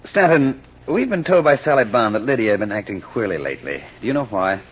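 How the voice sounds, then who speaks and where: An actor playing a brisk, inquisitive male sleuth in an old radio mystery, with an articulate way of speaking. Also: mid-range voice, very animated, slightly rough. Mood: brisk inquiry. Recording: muffled old radio recording.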